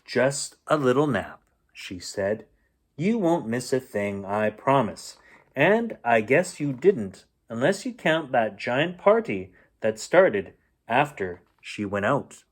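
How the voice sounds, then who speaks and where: A man reading a children's storybook aloud in an expressive, playful storytelling manner. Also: mid-range voice, slow pace, breathy edge. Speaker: bedtime story reader.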